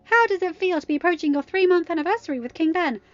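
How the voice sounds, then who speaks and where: A woman's voice: very high voice, fast talker, dry recording, highs cut off, faint music behind, voice pushed up. A woman voicing pushy, intrusive tabloid reporters in an audiobook, insistent and probing. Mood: eager, clamoring. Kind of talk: dramatized audiobook narration.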